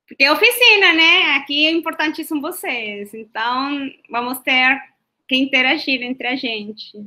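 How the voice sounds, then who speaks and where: High bright Colombian woman leading a workshop. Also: measured pace. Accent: Colombian Spanish. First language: Spanish. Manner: hesitant, frequent fillers and tag questions. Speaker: workshop facilitator. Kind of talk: online workshop talk. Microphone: video call.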